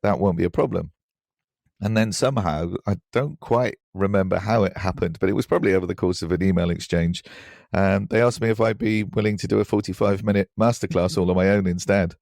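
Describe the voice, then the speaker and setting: Male interview guest: low register with creaky fry, hesitant and self-deprecating, reflective conversational delivery. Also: a little fast, darkish tone, no echo, studio quality. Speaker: podcast guest, writer.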